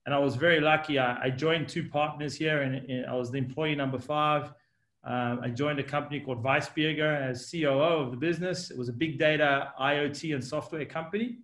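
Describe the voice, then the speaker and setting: A man's voice: on the high side, fairly even intonation, fairly quick, a bit bright. South African-Australian man, echoey room. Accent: South African and Australian mix. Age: 40s. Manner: fluent storyteller with frequent ums and uhs. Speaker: guest, company executive. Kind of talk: podcast interview. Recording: roomy echo.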